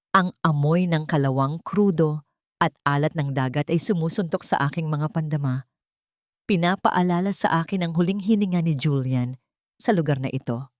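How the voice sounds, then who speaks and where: A woman's voice: sits low, darkish tone, no echo, clean studio sound. A woman reading a scripted first-person drama as an audiobook narrator.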